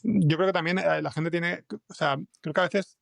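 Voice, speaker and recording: A man's voice: a little high, fairly even intonation, normal speed, gravelly, no room sound, clean studio sound. A man with an accent from Spain, chatting informally as an interview guest and thinking aloud as he explains things. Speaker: guest podcast scriptwriter. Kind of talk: casual conversational interview.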